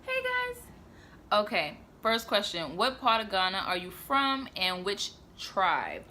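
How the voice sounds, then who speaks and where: A woman's voice: medium pitch, huge pitch swings, takes it slowly, bright, forceful tone, a little hiss or hum. A woman co-hosting a vlog, asking short, easygoing interview questions off camera. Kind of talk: casual interview.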